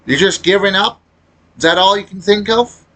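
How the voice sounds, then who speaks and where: A man giving unscripted, casual gaming commentary in a rambling, halting way, often trailing off mid-thought. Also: high voice, bright, forceful tone. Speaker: video creator commenting on a game.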